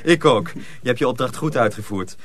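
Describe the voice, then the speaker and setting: A man playing a calm senior mill journeyman in a radio play, reassuring and gently instructive. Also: mid-range voice, brisk, natural voice. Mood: calm, guiding.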